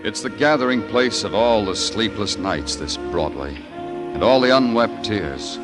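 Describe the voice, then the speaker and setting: An actor playing a world-weary, hard-boiled American police detective in 1950s radio noir, speaking in terse, moody phrases. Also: male voice, lowish voice, expressive, unhurried, music in the background. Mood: brooding, weary.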